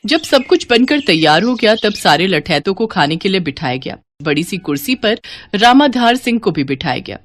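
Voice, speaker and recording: A woman narrates a scripted tale. Her voice sits at a middle pitch, and she tells events as a storyteller. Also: very animated, moderate pace, slight background noise.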